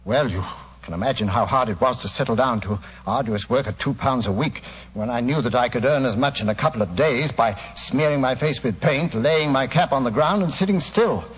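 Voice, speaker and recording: Man acting well-bred british gent w a guilty secret, husky, earnest, muffled old radio. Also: medium pitch, fairly quick, close to an everyday voice. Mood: resigned, confessional. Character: proud, ashamed well-bred gentleman confessing his secret. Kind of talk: 1940s radio drama acting.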